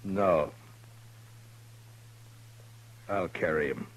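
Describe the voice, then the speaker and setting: A man playing a stern, weary Old West marshal in a radio western, with terse, deadpan delivery. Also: deep voice, measured pace, a little hiss or hum, poor audio. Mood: stricken. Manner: terse, deadpan hard-boiled narration. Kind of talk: 1950s radio western acting. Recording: old 1950s radio recording, music behind.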